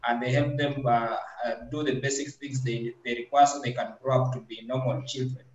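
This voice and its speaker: A man with a Kenyan accent speaking haltingly as a charity leader on an online panel. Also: medium pitch, steady tone, darkish tone. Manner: halting, pausing mid-sentence. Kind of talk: introductory talk on a video call. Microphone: video call. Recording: echoey, noisy, muffled internet connection.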